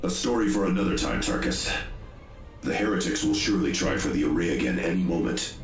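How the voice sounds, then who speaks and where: A man playing a stern veteran space marine tech-priest in a video game, speaking formally in clipped military phrases with a deep, gravelly voice. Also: lively intonation, fairly quick, reverberant, soft background music. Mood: measured, formal.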